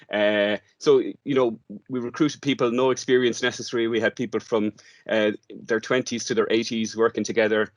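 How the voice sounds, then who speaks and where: A man's voice: lowish voice, no room sound. A man, a theatre director, explaining his work as an interview guest, hesitantly, thinking aloud in fragments.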